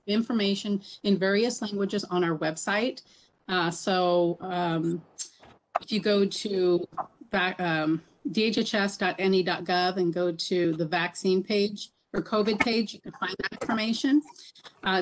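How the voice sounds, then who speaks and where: A woman's voice: medium pitch, rough recording. A woman speaking as a state health official on a video call panel, hesitant with many fillers and restarts.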